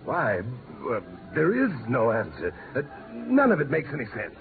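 Gravelly, impatient doctor. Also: male voice, medium pitch, wildly swooping pitch, moderate pace, faint music behind. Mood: irritated. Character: tense, irritable male doctor, skeptical. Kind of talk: 1950s radio drama acting. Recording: noisy, muffled 1950s radio recording.

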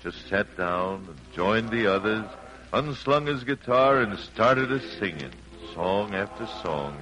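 A man's voice: on the low side, lively intonation, unhurried, rough recording. An actor playing a homespun storyteller, narrating his father's tales in a 1940s radio drama. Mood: reminiscing. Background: music.

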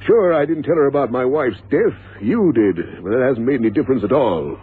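A man's voice: mid-range voice, animated, a little fast, lightly acted. A man acting a stubborn, defiant newlywed father in a radio drama, sounding insistent and indignant. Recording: tinny, narrow-band, noisy old radio recording.

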